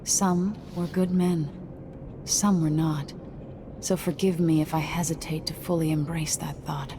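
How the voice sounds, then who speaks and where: An actress playing a guarded, world-weary masked infiltrator in a video game, speaking in a cool, measured, dryly skeptical way. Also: female voice, deep voice, fairly even intonation, noisy background. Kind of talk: dramatic video game dialogue.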